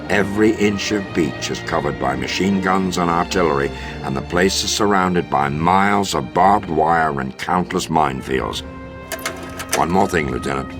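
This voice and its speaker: American army officer, super deep voice, stern formal game briefing w music behind him. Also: male voice. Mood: earnest. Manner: authoritative, measured, formal. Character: commanding older American military officer briefing a soldier. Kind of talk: scripted video game mission briefing.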